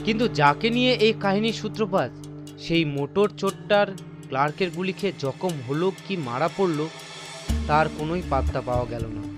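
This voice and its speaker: A man narrates a scripted mystery tale in a notably high voice. His delivery is theatrical and full of suspense, with faint music underneath. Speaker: story narrator.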